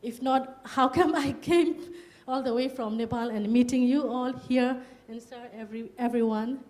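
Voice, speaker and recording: Soft-toned woman sharing her story. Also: a little high, steady tone. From Burma. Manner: halting, many fillers and "you know". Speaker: retreat participant. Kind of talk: personal testimony.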